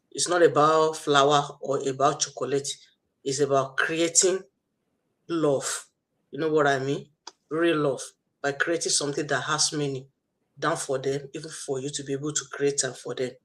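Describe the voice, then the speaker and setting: A man's voice: fairly high pitch, a bit bright. A man with a Nigerian accent co-hosting a promotional live session and addressing viewers directly. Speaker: co-host, online business owner.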